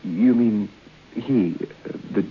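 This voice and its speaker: Nervous well-mannered thirtysomething in an old radio play, stammers, lowish voice, dull noisy recording. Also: male voice, slow pace. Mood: hesitant, uneasy. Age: 30s. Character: polite, hesitant bachelor of thirty-three, nervous guest.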